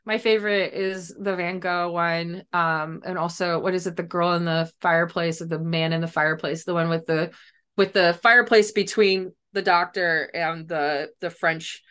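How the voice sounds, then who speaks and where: A woman's voice: on the low side, fairly even intonation, moderate pace, a bit bright, clear, resonant tone. A woman talking casually as a guest author in an interview, chatty and loose, often saying like and kinda. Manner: chatty, hedging, frequent "like" and "kinda".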